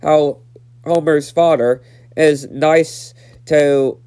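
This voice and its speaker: Stuffy-nosed teen guy, slightly high voice, rambly episode recap. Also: steady tone, very slow, warm tone, smooth. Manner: rambling, repeats himself, many fillers. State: stuffed-up nose. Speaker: episode reviewer. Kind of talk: casual recap review.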